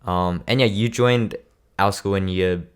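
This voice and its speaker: A man's voice: deep voice, measured pace, smooth. A young man, hosting a casual podcast chat with eager affirmations. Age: late teens. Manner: chatty, eager, frequent affirmations. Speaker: podcast host.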